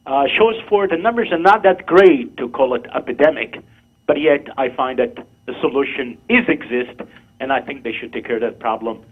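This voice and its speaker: A man's voice: medium pitch, moderate pace. Moroccan man in his fifties on a phone line. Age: 50s. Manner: earnest, insistent, lectures in short phrases. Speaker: caller. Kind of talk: call-in discussion.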